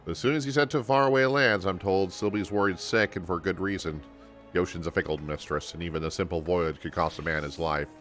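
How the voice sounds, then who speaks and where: Man as a low-voiced, folksy game merchant, kindly and concerned, over music. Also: fairly quick, a bit bright. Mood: wistful, concerned. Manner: folksy, old-fashioned turns of phrase. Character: friendly town merchant, worried for a friend's daughter.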